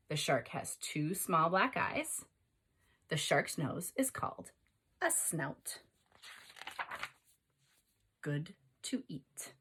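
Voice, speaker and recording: A woman speaks as a teacher to young children, in a slightly low voice with a breathy, husky edge. Her pitch moves in lively swings as she reads aloud and explains. She addresses her listeners directly, guiding them with questions and prompts. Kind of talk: expressive read-aloud and lesson for young children.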